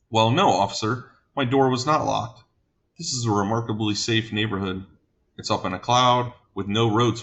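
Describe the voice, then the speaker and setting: A man playing a fussy, gentle giant giving a flustered, wordy statement to a police officer in a comic monologue. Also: fairly low pitch, creaky.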